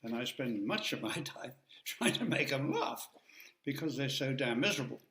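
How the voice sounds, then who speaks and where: A man's voice: mid-range voice, sing-song, moderate pace, sharp tone, roomy sound. An older man giving a chatty, joking talk to an audience, rambling with asides. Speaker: guest speaker.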